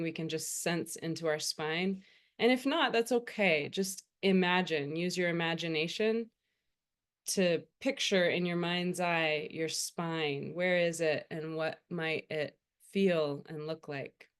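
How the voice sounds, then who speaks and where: A woman meditation teacher speaks in a low, smooth, resonant voice. Her delivery is very slow and gentle, leaving unhurried pauses between phrases as she soothingly guides the listener.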